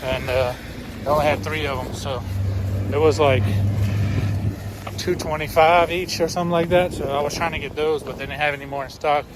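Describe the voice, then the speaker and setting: A man in his thirties or forties talking casually to his phone as a trucker vlogger, in a halting, matter-of-fact way. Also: on the high side, fairly even intonation. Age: 30s to 40s. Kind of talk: casual vlog talk. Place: truck cab and open parking lots outdoors. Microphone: phone at arm's length. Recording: noisy outdoor and truck-cab phone recording.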